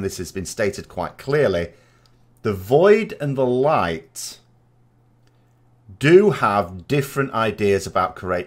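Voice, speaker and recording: A man giving informal, speculative commentary as a gaming vodcast host, reasoning aloud as he goes. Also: fairly low pitch. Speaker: video game lore commentator and vodcast host.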